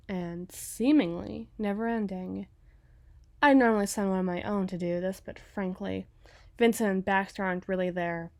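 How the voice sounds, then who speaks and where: A menacing, coolly seductive female crime boss, played by a woman in an ASMR audio roleplay. She speaks slowly and deliberately, often sinking toward a whisper. The sound is very dry, and her delivery is theatrical and scheming. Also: medium pitch, darkish tone, no echo, natural voice.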